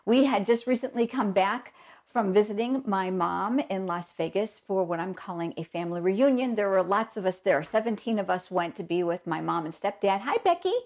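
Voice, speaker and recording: Woman host, mid-pitched, froggy with a cold, chatty live-stream manner. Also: moderate pace, darkish tone. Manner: chatty, greets viewers by name. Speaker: host of a live stamping show. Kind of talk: casual live-stream chat.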